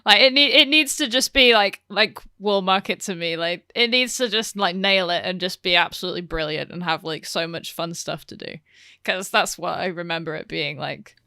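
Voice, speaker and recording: Bright, clear-voiced woman chatting. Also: on the low side, moderate pace, no echo, clean studio sound. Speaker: podcast panelist. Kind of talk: casual fan discussion.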